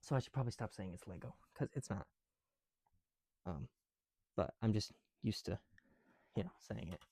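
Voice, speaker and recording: Casting: male ASMR creator, relaxed dark tone, casual muttered chat, very dry close sound. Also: fairly low pitch, normal speed, gravelly, breathy edge, no echo. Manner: softly muttering, drops into whispered counting; casual, mildly profane. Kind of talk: ASMR, casual chat while building with bricks.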